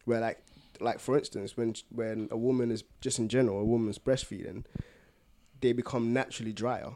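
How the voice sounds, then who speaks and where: A man's voice: medium pitch, fairly even intonation, a little fast, dark tone, slight background noise. A young man talking casually as a co-host in a podcast conversation. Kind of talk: casual chat.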